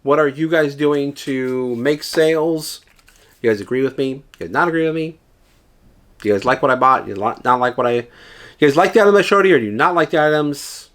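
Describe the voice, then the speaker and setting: A man chatting straight to his viewers in a loose, rambling vlog style, full of fillers and restarts. His voice sits at an ordinary pitch but sounds a little nasal and dry, as if he is still getting over an illness. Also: fairly quick, fairly bright tone. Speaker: reseller YouTuber. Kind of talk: casual vlog advice to viewers.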